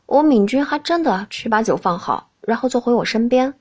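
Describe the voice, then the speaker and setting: High-voiced woman narrating an audiobook. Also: lively intonation, a little fast, a little mellow, breathy edge. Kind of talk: scripted first-person story narration.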